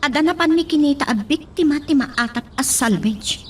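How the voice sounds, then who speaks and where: A woman's voice: high-pitched, lively intonation, normal speed, slight background noise. A woman with an Ilocano accent playing an earnest, worried young daughter in a radio drama. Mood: alarmed.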